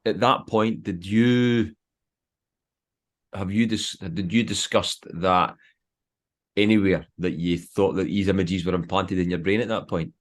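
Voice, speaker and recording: Very low-voiced Scottish man, halting questions. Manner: hesitant, filler-heavy questions. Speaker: podcast host. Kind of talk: casual interview questions.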